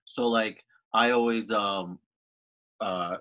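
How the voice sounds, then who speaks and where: A man's voice: fairly low pitch, fairly even intonation, slow, bright, forceful tone. A middle-aged man telling a personal story on a video call from home, talkative and full of fillers. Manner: talkative, many "kind of" and "like" fillers. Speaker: workshop participant. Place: room at home. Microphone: video call on a laptop.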